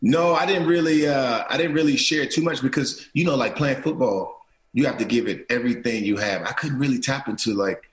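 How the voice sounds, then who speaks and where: A man's voice: mid-range voice, fairly quick. Earnest man in an echoey room. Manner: earnest, repeats and restarts phrases, says "right?" often. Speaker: guest, actor and producer. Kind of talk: casual interview talk.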